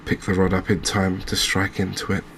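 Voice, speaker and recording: A man giving relaxed, understated ASMR-style commentary as host of a gaming channel. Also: sits low, normal speed, gravelly, a little hiss or hum.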